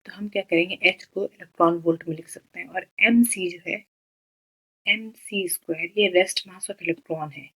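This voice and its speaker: Physics tutor: Indian woman, lowish pitch, explanatory, step-by-step delivery with rhetorical questions and frequent check-ins. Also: moderate pace, bright, forceful tone, low-quality recording. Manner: explanatory, checks in often and poses questions then answers them. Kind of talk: step-by-step problem explanation, Hindi mixed with English terms.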